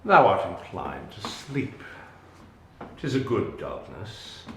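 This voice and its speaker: A man playing a grave, commanding exiled duke and sorcerer in a deliberate, declamatory Shakespearean stage reading. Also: sits low, very animated, a bit bright, slight background noise, exaggerated voice. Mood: solemn, musing. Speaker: actor in dramatic reading. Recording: echoey room.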